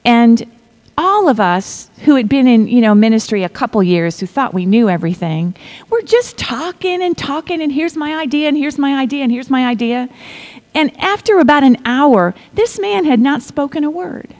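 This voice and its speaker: A middle-aged woman preaching a sermon, reading scripture in a measured way and dropping to a whisper for effect. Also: high voice, expressive. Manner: slow, deliberate delivery with pauses and soft whispered stretches; asks the congregation to repeat lines.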